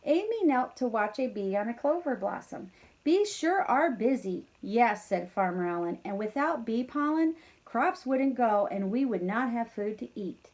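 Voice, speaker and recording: A woman with a fairly high voice reads a picture book for young children. She is expressive and encouraging, speaking straight to her listeners in the manner of a teacher, with casual, chatty comments. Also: measured pace. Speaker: teacher reading a bedtime story to pupils. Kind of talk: animated children's read-aloud with casual chatty asides.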